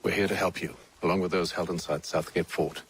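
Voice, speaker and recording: A man playing a composed, calculating British commander in a video game, speaking formally with measured authority. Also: low voice, little pitch movement, noisy background. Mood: calm command. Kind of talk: video game dramatic acting. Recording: game audio with music behind.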